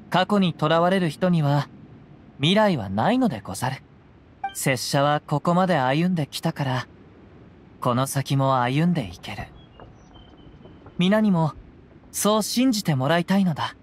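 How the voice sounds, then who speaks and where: A man voicing a calm young wandering samurai in a game, speaking in formal old-fashioned phrasing. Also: on the high side, normal speed, pauses often, slight background noise, natural voice. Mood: calm reflective. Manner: formal archaic speech, gentle and reflective. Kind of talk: game voice acting.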